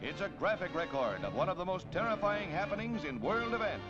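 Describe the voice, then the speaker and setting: A man's voice: high-pitched, very animated, fairly quick, over loud music. An American man reading scripted 1940s newsreel narration in a dramatic, declamatory manner, on a period film soundtrack. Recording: dull, band-limited 1940s newsreel soundtrack.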